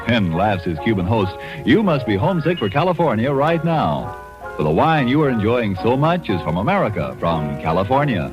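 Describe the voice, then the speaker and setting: A man reading a scripted 1940s radio advertisement as a polished, emphatic announcer. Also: on the low side. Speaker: commercial announcer. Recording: dull lo-fi vintage radio, music behind.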